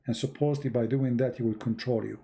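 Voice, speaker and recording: A man's voice: mid-range voice, fairly even intonation, fast, creaky, mellow. A man, an English speaker, giving a blunt, emphatic religious talk to an online audience, repeating himself for stress. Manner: blunt, emphatic, repeats words, non-native grammar. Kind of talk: live-streamed religious talk.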